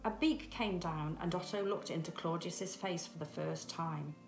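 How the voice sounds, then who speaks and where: A woman's voice: on the low side, normal speed, faint music behind. A middle-aged woman narrating a children's story expressively, recorded at home. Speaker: storybook reader. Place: small room at home, indoors. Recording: echoey domestic room.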